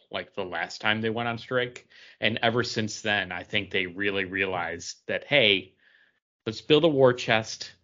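Bright, forceful low-pitched man, lo-fi sound. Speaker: podcast co-host. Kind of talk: casual chat about entertainment news. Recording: dull lo-fi sound.

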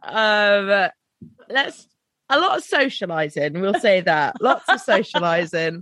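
A young woman, co-hosting a casual podcast chat, her voice croaky and tired, laughing and casual. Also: medium pitch, sing-song, slow, a bit bright, no room sound. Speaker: podcast co-host.

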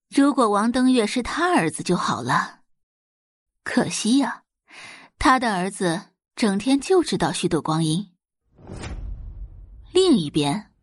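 A woman's voice: medium pitch, husky, dry recording, clean studio sound. A woman reading scripted dramatic narration as the narrator of an audio drama.

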